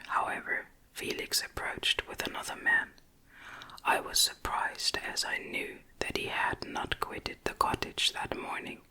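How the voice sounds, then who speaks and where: A woman narrates classic gothic fiction entirely in a breathy whisper. Her delivery is gentle and very slow, with crisp, bright sibilance, made for ASMR listening. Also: poor audio. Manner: gentle, measured, literary storytelling. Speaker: audiobook narrator.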